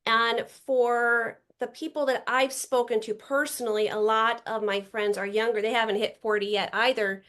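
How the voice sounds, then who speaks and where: Chatty high-voiced woman in her forties. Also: fairly even intonation, normal speed, few pauses, fairly bright tone. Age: 40s. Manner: chatty and upbeat, trails off and restarts often. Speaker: podcast guest. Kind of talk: casual chat.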